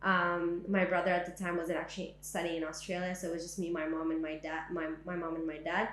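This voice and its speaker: Young woman from syria telling her story, kinda low voice, lots of "like" and "um", echoey room. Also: level tone, fast talker, fairly bright tone, slight background noise. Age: young adult. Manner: reflective, frequent "like" and "um". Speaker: interviewee. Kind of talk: oral history testimony. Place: indoors, living room.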